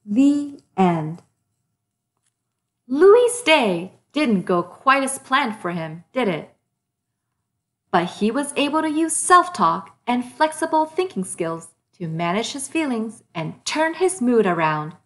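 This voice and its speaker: A woman hosting a children's read-aloud, narrating a storybook expressively and deliberately for young listeners. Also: fairly high pitch, big pitch swings.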